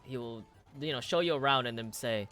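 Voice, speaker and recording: A young man running a tabletop game as game master, describing scenes in a rambling, halting, self-mocking way. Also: mid-range voice. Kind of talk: casual tabletop game narration. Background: soft music.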